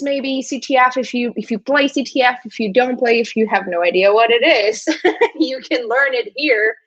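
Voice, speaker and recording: A woman from Brazil whose first language is Brazilian Portuguese, hosting a livestream in a chatty, halting way with frequent hesitations. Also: a little high, dull audio. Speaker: host.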